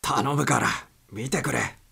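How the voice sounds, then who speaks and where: A man's voice: medium pitch, measured pace, vocal fry, pushed and bright, husky, voice pushed up, heavily acted. A man voicing a desperate, panicked male passenger in a story reading, urgent and pleading.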